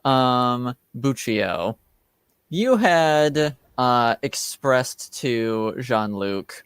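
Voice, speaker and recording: A man acting as game master, casually moderating a tabletop roleplaying game in hesitant, pausing phrases. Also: mid-range voice, expressive, fairly bright tone, clean tone, no echo, studio quality.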